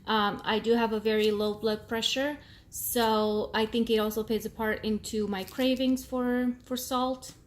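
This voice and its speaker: A woman in her thirties whose first language is Portuguese, speaking English with an accent in a casual vlog, pausing often with ums as she searches for words. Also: fairly high pitch, level tone. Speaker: vlogger. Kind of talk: casual talking-to-camera vlog.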